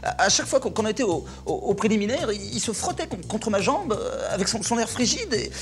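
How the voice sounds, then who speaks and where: Neurotic stammering bookish guy, played by a man: lively up-and-down pitch, fast, music bed behind. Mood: anxious. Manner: nervous stammering, repeats words. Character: neurotic stammering male intellectual, anxious and rambling. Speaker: comedian playing a stammering intellectual. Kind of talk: sketch comedy.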